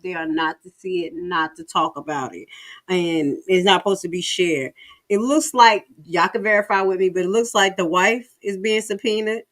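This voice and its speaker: American lady streamer doing live reaction commentary, casual slangy talk, lots of drawn out exclaiming. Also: mid-range voice. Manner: exclaiming, drawn-out emphatic words, colloquial. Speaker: livestream host.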